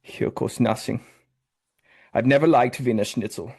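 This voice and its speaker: A man's voice: medium pitch, unhurried, creaky, darkish tone, husky. An actor playing an earnest, naive young Austrian man who is smitten and solemnly sincere in a romcom table read. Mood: solemn confession.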